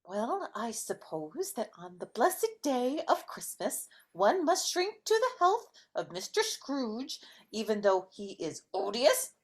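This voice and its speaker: Woman putting on a high, slow, earnest Christmas character. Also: very animated, heavily acted. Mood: grudging. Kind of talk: live amateur dramatic reading.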